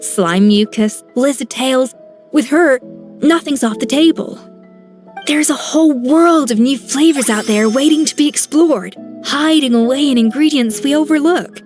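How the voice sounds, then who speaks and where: A woman voicing a composed grown-up master chef in a video game, calm and gently instructive like a mentor. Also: high-pitched, measured pace. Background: soft game music.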